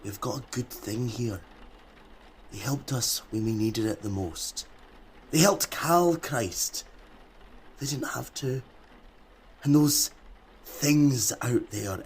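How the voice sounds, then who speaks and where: An actor playing a calm, reasoning male survivor who speaks in a protective, level-headed manner in an audio drama. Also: mid-range voice, slow pace, breathy edge. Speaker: survivor in an underground group.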